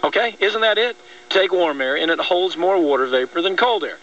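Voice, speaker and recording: A man's voice: high voice, animated, moderate pace, a bit bright. An American man talking opinionated politics on the radio in a blunt, exasperated, emphatic way. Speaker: talk radio host and trial lawyer. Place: radio broadcast. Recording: dull lo-fi radio, distant.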